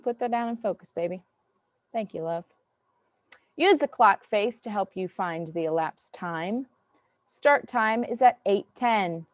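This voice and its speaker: Woman teacher, slow patient delivery, dry close video-call sound. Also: mid-range voice, no room sound. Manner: patient, step-by-step counting aloud. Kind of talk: online math class review.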